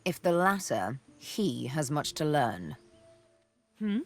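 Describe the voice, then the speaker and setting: A vengeful elf huntress from a fantasy video game, speaks low and slow over background music. She is arch and sardonic, placing each phrase with teasing, theatrical care. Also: sing-song, heavily acted. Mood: sardonic, grave. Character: sardonic, vengeful female elf, former slave turned huntress. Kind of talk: video game character dialogue.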